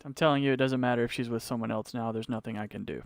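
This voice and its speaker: A man's voice: mid-range voice, fairly even intonation, racing, vocal fry, noisy background. A man hosting a stream, giving casual live reaction commentary. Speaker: streamer reacting to horror series.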